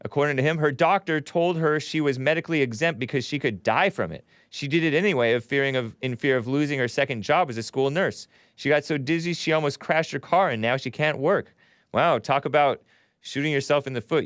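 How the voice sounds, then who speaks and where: A man's voice: mid-range voice, brisk, fairly bright tone, no room sound, studio quality. A man hosting a call-in show, arguing scripture with callers and reading out viewer messages, with chuckles as he reads. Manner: argumentative, quotes scripture, chuckles while reading.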